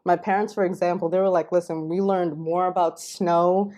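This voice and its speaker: Low mellow-voiced woman from New York. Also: fairly even intonation, normal speed. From Queens, New York, United States. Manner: fluent and expansive, with many fillers and hedges. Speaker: podcast guest, linguistic anthropologist. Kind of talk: casual interview.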